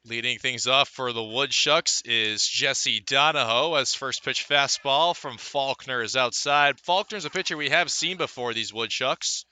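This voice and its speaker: A man's voice: mid-range voice, unhurried, few pauses, sharp tone, no room sound. A man calling live baseball play-by-play for radio. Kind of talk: live radio sports commentary.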